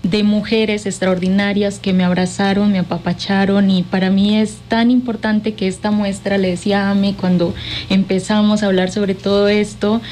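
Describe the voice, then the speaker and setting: A woman's voice: mid-range voice, flat delivery, moderate pace, darkish tone, slight background noise. A woman with a Colombian accent speaking effusively and affectionately as a guest in a conversational interview. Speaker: interview guest, cultural manager.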